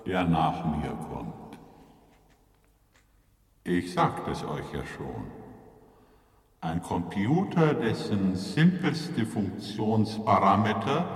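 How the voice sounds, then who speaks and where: An actor in a German radio play voicing a grandiose, self-important supercomputer with solemn, deliberate oratory. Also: male voice, lowish voice. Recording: long hall reverb, distant voice.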